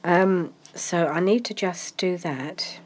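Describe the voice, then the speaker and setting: A woman's voice: lowish voice, slow pace, a little mellow, slight background noise. A woman, chatting casually about her crafting project, congested from a cold and rambling with many ums. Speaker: craft vlogger.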